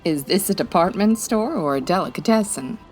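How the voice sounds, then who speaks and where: A woman's voice: on the low side, animated, brisk, a little mellow, faint music behind, lightly acted. A woman playing a classy, wry female private eye in a 1940s radio detective style, breezy and full of quips. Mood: wry, dismissive.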